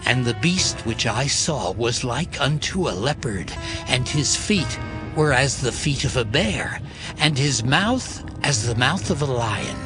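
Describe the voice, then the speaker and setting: Man reading scripture real slow and solemn, music playing under him. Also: mid-range voice. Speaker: narrator.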